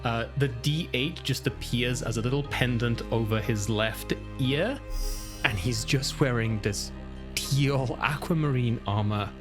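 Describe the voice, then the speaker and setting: A man's voice: mid-range voice, a little slow. Casual rambling male player over guitar music. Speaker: tabletop game player. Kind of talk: improvised narration of own character.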